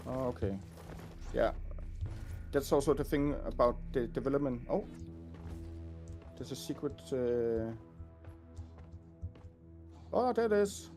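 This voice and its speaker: A man working as a game audio designer, talking casually and haltingly while showing a game. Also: mid-range voice, lively intonation, warm tone, soft background music. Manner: hesitant, many fillers and restarts.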